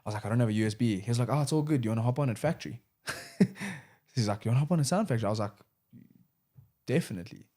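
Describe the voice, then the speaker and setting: A man's voice: medium pitch, expressive, mellow. A young man, a rapper chatting casually in an interview, laid-back and slangy. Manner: laid-back, slangy, says "bro" often, quick laughs. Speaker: rapper and producer guest.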